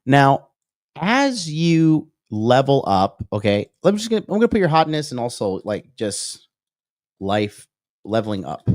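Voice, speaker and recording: A man's voice: mid-range voice, big pitch swings, a little slow, a little mellow, no echo, clean studio sound. A male dating coach in his thirties, giving a whiteboard lecture on a livestream and peppering his points with "okay?" and "right?". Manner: reads out and answers viewer comments.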